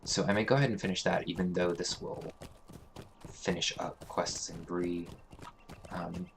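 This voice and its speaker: A man hosting a let's play, talking casually through a game with a hoarse, congested voice and many fillers. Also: low voice, slight background noise. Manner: relaxed, halting, thinking aloud with many fillers. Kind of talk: casual gameplay commentary.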